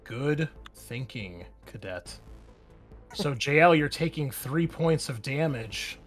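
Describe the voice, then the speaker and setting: A man's voice: mid-range voice, lively intonation, slow. A man acting as game master, narrating scenes and explaining rules in a tabletop roleplaying game. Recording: background music under the voice. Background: music.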